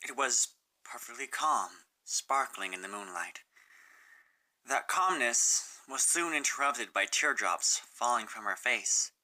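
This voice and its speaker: A man's voice: medium pitch, lively intonation, unhurried, pushed and bright, rough recording. A man reading a sad story aloud as a scripted narration, in a dramatic way with the odd stumble. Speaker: fan fiction narrator.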